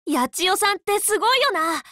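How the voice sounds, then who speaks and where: Anime game role: teenage magical girl, loyal tomboy leader, bright forceful tone, very lively intonation, dry close studio sound, frank and casual. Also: very high-pitched, a little fast, dry recording, full character voice. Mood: fond. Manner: casual, boyish, spirited, frank speech. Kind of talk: anime game character voice lines.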